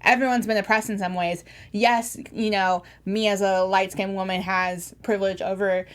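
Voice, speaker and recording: A woman's voice: mid-range voice, flat delivery, moderate pace. A woman co-hosting a TV recap show, speaking earnestly and building an argument with some fillers. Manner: earnest, argues a point with fillers. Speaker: co-host. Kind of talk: casual TV recap chat.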